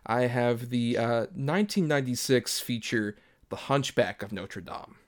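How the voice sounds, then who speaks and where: A man's voice: medium pitch, a little slow. A man co-hosting a casual movie podcast with playful, jokey banter. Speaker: podcast co-host.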